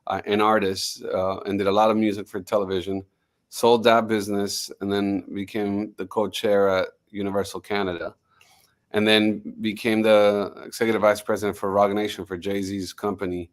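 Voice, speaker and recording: Low-voiced confident businessman. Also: flat delivery, normal speed. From Miami, United States. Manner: fluent, confident, with frequent restarts. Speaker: music executive guest. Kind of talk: podcast interview.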